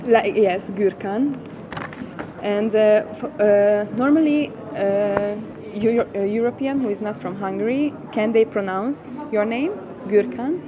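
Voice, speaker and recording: A woman's voice: medium pitch, a little slow, muffled recording, background noise. A woman interviewing a guest in English, encouraging him with short agreeing sounds.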